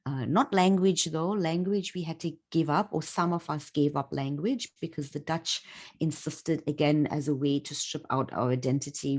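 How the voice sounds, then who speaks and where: A woman from Africa, a guest telling her story in an interview, reflective and full of fillers. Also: sits low. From South Africa. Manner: reflective, many fillers, laughs easily.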